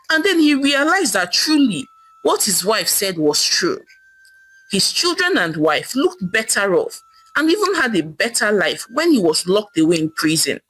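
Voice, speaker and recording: A woman with a Nigerian accent telling a folktale as an expressive narrator. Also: mid-range voice, slightly rough, low-quality recording. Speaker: storyteller. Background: soft music.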